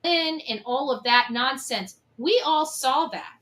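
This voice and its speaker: A woman with a high, forceful, bright voice speaks off the cuff as an opinionated commentator. Her delivery is emphatic and accusatory, and she repeats phrases to drive her points home. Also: a little slow. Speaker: online commentator on a case. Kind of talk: opinionated unscripted monologue.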